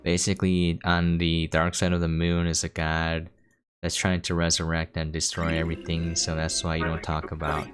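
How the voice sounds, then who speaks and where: A man's voice: sits low, monotone, moderate pace, faint music behind. A man giving casual gameplay commentary as a streamer, explaining things as he plays. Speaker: video game streamer.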